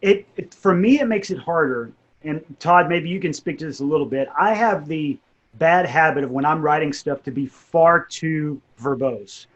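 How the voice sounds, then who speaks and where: A man's voice: fairly high pitch, moderate pace, a bit bright, highs cut off. A man, a writer and narrator, casually explaining and reflecting on his creative process. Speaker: writer and narrator of audio drama.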